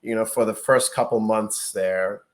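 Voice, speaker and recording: A man co-hosting a casual reaction chat as a realtor, talking in a halting way and often repeating words while he thinks. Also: lowish voice, fairly even intonation. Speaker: realtor co-host.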